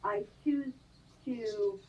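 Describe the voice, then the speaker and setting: A woman actress being interviewed on a live stream, chatty and animated, laughing often. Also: a little high, drawn-out words, highs cut off. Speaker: guest actress. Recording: distant mic with faint noise.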